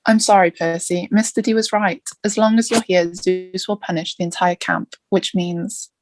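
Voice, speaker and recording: A woman playing a grave, regretful old male mentor in a theatre table read, speaking in a measured, apologetic way. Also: on the low side, fairly even intonation, soft background music, lightly acted, rough recording.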